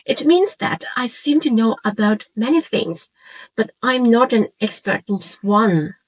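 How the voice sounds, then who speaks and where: A woman in her forties from Hong Kong, a native Cantonese speaker with a Hong Kong accent, narrates a scripted, reflective piece in measured phrases with short pauses. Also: a little high, expressive, low-quality recording. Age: 40s. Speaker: YouTube channel creator and host.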